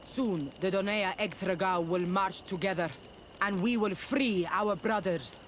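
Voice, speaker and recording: A man's voice: high-pitched, measured pace, a bit bright, slight background noise. A man playing a proud, stern rebel warrior leader in a video game, speaking bluntly and solemnly. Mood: resolute. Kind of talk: scripted video game cutscene dialogue.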